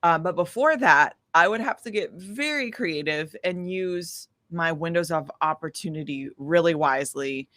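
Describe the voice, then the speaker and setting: A woman in her thirties talking casually as an interview guest, animated and gushing, peppering her talk with "like" and "you know". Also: on the low side, moderate pace, hardly any pauses, dry recording. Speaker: interview guest, independent singer-songwriter.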